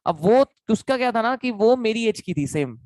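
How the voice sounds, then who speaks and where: A young man with an Indian accent and a sore, hoarse throat, teaching a chatty live online law lecture and repeating points to his students. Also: high voice, expressive, normal speed, a little mellow, dry recording. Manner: chatty, repetitive, calls students beta.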